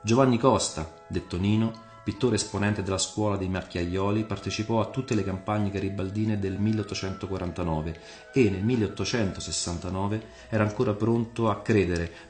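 A man's voice: sits low, steady tone, normal speed, darkish tone, echoey room, soft background music. A man reading a scripted dramatic story for radio as its narrator.

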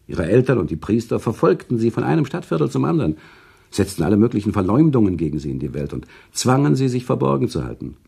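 An actor playing a grave, compassionate author in a German radio play, telling his story in measured, literary, earnest speech with a low gravelly voice. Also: sing-song, dark tone, heavily acted. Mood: earnest recounting. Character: grave, compassionate male author recounting a friend's tragic love.

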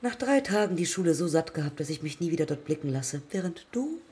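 A woman's voice: low-pitched, sing-song, fast talker, dark tone, breathy edge, heavily acted. An actress playing an eager, headstrong young woman pilot of the 1920s in a radio play, telling her story reflectively in clipped fragments. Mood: wry. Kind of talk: radio play monologue and dialogue.

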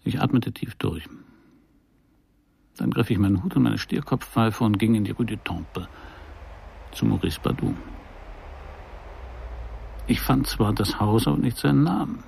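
An actor playing a wry, world-weary private detective in a noir radio play, with a dry, sardonic delivery. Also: male voice, lowish voice, measured pace, gravelly, long pauses, a little mellow, slight background noise. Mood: dry, observant. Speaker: narrator and lead of a crime radio play. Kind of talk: first-person noir radio drama narration.

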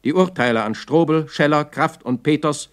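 A man's voice: on the high side, moderate pace. A man announcing in a clipped, official and impersonal way, in the style of 1960s documentary radio drama. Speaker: announcer of times, dates and bulletins.

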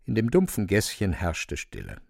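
A male narrator reads literary prose in a measured, matter-of-fact manner. His voice is low and gravelly, set in a dry studio. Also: huge pitch swings, darkish tone, no echo.

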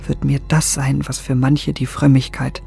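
A man playing a vain, brooding young gentleman in a radio drama, speaking intensely and inwardly, partly in whispers. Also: medium pitch, monotone, moderate pace, creaky, faint music behind. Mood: solemn resolve.

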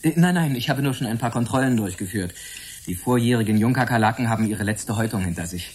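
Radio play: deferential young scientist, medium pitch, very varied intonation, earnest and precise. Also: male voice, lively intonation, fast talker, a little mellow, breathy edge. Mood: polite, earnest. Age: young adult. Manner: precise, deferential, hesitant when embarrassed. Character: polite, earnest young research assistant, shy in private. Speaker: actor in a radio drama. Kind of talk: German radio drama dialogue.

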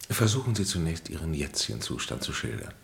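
A grave psychiatrist from a radio play, with an extremely low voice. He speaks in measured, formal sentences, calmly handing down weighty pronouncements with complete authority. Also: gravelly, exaggerated voice. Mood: calm, authoritative. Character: grave, authoritative male psychiatrist, calm and oracular. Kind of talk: German radio play acting.

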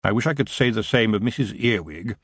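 A man's voice: fairly low pitch, lively intonation, fairly quick, slightly rough, no room sound, studio quality. A man voicing a fussy, deferential clerk in an audiobook, primly precise, polite and faintly disdainful. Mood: earnest, deferential.

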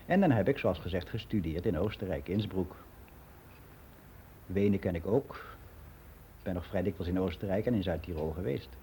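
Older man giving frank, matter-of-fact radio play monologue; soft dark tone, slightly noisy recording. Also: deep voice. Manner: matter-of-fact, rambling, candid.

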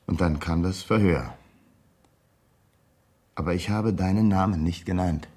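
A man acting in a radio drama as a cold ex-convict blackmailer, speaking in a measured, threatening and insistent way. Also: sits low, a little mellow, slightly rough. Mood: grim confessing.

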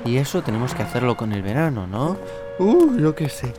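A man plays an everyday male friend in an audio comedy, speaking colloquial Spanish with a Spain accent. He is sceptical and woozy from the heat, his voice sitting at a middling pitch over a music bed. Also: sing-song, normal speed, lightly acted. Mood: sceptical, weary. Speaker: co-host and actor.

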